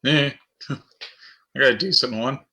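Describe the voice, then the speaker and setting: Male gamer, piercingly bright mid-pitched voice, casual play-along chat. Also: takes it slowly. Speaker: tabletop game player.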